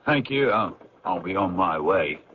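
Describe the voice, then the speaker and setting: A man's voice: deep voice, lively intonation, measured pace. A man acting in an old-time radio drama as a brusque, rude stranger. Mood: curt. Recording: dull old radio recording, clear noise.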